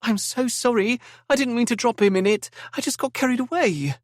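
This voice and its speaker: A man voicing G, an impulsive, chatty young woman who is quick to apologize and cry. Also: very high voice, no echo, studio quality, pitched above the performer's own voice. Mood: remorseful. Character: young woman G, impulsive, gushing, easily tearful.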